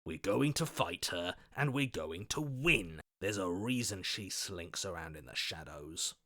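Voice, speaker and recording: A man playing a stubborn, combative inner voice in a video game, forceful, scornful and commanding. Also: low-pitched, expressive, a little slow, no room sound, studio quality, full character voice. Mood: grim determination. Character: stubborn, combative male inner voice itching for a fight. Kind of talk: dramatic video game voice acting.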